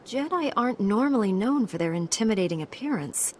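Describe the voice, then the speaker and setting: An actress playing a composed, frank young Jedi apprentice in a video game. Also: female voice, medium pitch, lively intonation, brisk, a little hiss or hum, lightly acted. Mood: dutiful. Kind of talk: scripted video game acting.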